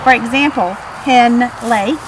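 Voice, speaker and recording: A woman's voice: fairly high pitch, slow, noisy background. A woman narrating a children's storybook expressively. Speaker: storybook reader.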